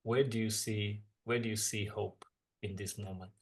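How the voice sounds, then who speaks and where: A man's voice: on the low side, fairly even intonation, normal speed. A man interviewing a guest, thanking him and rephrasing a reflective question. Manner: warm, appreciative, rephrases questions.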